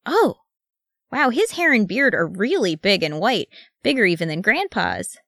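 A woman voicing a small, excitable, defiant dwarf boy in a fantasy story, with childish boasting and grumbling. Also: mid-range voice, moderate pace, no room sound, clean studio sound. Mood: excited.

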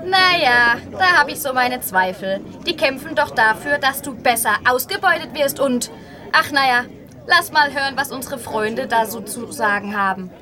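Female radio co-presenter, high pitch, sceptical scripted dialogue, busy crowd behind. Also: expressive, moderate pace.

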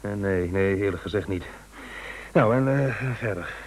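An actor playing a sceptical, good-natured old friend in a Dutch radio play, hesitant and muttering. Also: male voice, fairly low pitch, moderate pace, natural voice, low-quality recording.

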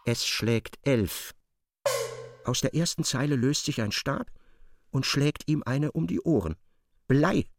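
An actor playing an intense storyteller, narrating a feverish tale for radio drama. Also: male voice, medium pitch, lively intonation, slow, vocal fry, long pauses, music in the background.

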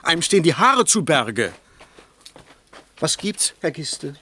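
A man's voice: on the high side, sing-song, measured pace, sharp tone, breathy edge, heavily acted. A man playing a stingy, harried political candidate in a German radio play, curt and grumbling. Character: stingy, harried male candidate haggling with backers.

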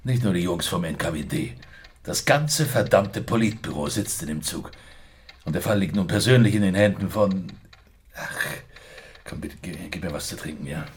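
An actor playing a gruff, middle-aged police superior, blunt and agitated, in a German radio crime drama. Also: male voice, deep voice, expressive, normal speed, a little hiss or hum. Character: gruff, rattled male police superior who wants a drink.

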